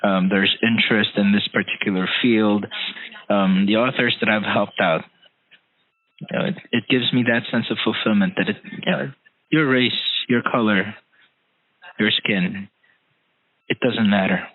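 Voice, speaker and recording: A man speaking earnestly and haltingly in a conversation, often pausing to search for his words. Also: fairly low pitch, fairly even intonation. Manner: hesitant, halting delivery. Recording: roomy, tinny phone-like call audio.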